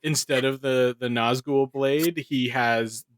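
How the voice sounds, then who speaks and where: A man's voice: medium pitch, steady tone, slow pace, dry recording. A man chatting casually on a podcast panel, wry and slangy with some swearing. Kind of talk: casual chat.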